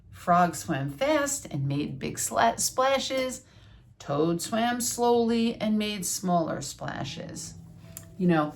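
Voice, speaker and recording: Older woman, lowish pitch, slow, deliberate, teacherly, children's read-aloud. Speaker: retired schoolteacher reading a children's book aloud.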